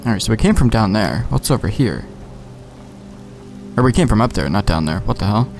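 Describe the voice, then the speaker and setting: Low, slightly creaky young gamer. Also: male voice, expressive, fairly quick, music in the background. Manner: offhand, slangy, talking to himself. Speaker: gamer, let's play host. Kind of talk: casual video game commentary.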